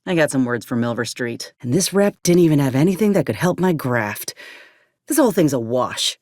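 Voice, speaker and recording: An actress playing a tough, guarded, weary former combat medic in a video game, with a folksy, blunt, sardonic way of talking. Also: female voice, extremely low pitch, expressive, normal speed, darkish tone, dry recording, clean studio sound. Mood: bitter, weary. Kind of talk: video game character acting.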